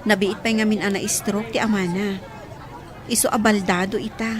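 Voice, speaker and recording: A woman's voice: medium pitch, steady tone, normal speed, crowd noise, natural voice. A woman playing a concerned young friend in a radio drama, earnestly explaining a family's troubles.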